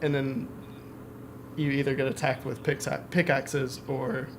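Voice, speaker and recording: A man chatting casually about video games on a podcast, with clear background noise. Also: mid-range voice, level tone, a little slow. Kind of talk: casual gaming podcast chat.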